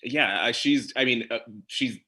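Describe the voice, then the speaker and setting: A man's voice: mid-range voice, measured pace, pushed and bright. A man on a panel giving casual, opinionated film commentary.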